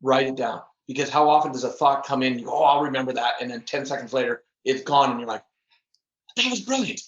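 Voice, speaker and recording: A man's voice: medium pitch, expressive, fast talker, muffled recording. A middle-aged man speaking earnestly as a podcast guest, often repeating words and laughing at himself. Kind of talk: casual interview chat.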